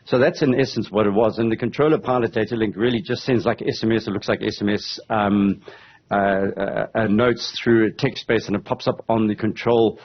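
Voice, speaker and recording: Husky, low-voiced man. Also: fairly even intonation, a little fast. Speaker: aviation commentator and interview guest. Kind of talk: explanatory news interview. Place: indoor room on a video call. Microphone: headset on a video call. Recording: dull, lo-fi video call audio.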